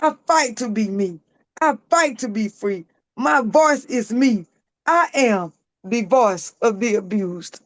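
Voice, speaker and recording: Raspy woman reading her poetry. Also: on the high side, expressive, slow pace, no echo. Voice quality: raspy, hoarse. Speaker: author and guest.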